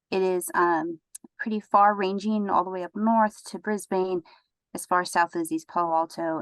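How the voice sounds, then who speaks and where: A woman's voice: medium pitch, no echo, low-quality recording. A woman branch manager giving a webinar presentation, reading steadily from her slides.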